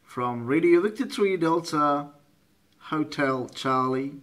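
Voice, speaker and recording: A man's voice: a little high, slow pace. A man with a Russian accent chatting as a ham radio operator, hesitant and searching for words. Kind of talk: casual radio contact chat. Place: indoors at a computer running radio software.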